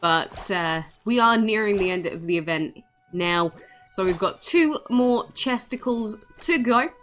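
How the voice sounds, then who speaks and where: A woman's voice: medium pitch, expressive, measured pace, fairly bright tone. A woman giving casual, playful commentary on a game as she plays, chatty and informal. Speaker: gaming video creator.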